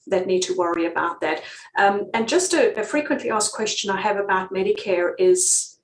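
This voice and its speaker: A woman's voice: medium pitch, slight background noise. A British-accented woman giving casual relocation advice on a live stream, chatty with frequent ums. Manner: chatty, many ums, laughs easily. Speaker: relocation consultant. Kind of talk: live-stream advice reading viewer comments. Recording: echoey room.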